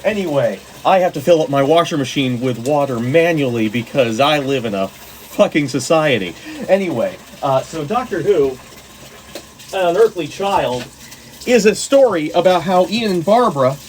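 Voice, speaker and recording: A man's voice: a little high, lively intonation, moderate pace, rough recording. A man gives rambling, informal commentary like a fan talking to followers. He is jokey and digressive, with loose, sweary phrasing. Faint background noise sits under his voice. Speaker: host of a fan story guide. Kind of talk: casual rambling video commentary on sci-fi stories.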